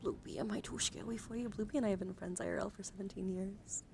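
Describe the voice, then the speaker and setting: A woman's voice: mid-range voice, steady tone, fast, creaky. Playful woman streamer, noisy background. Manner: playful, teasing, chatty. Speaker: livestream host. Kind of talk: casual ASMR livestream chat.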